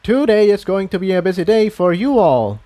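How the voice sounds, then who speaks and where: A man voicing a busy, courteous, absent-minded male pony townsman in a storybook, with a friendly, hurried manner. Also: sits high, very animated, dark tone, voice pushed up. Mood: brisk, friendly.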